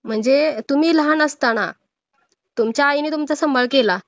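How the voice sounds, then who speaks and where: A woman with a Marathi accent speaks in a high voice on a dull, dry phone recording. She admonishes and counsels the listener. Her manner is insistent and moralising, full of pointed rhetorical questions. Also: normal speed, no room sound. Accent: Marathi, Maharashtra. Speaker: old-age home worker taking a call. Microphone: phone call. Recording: muffled lo-fi phone call recording.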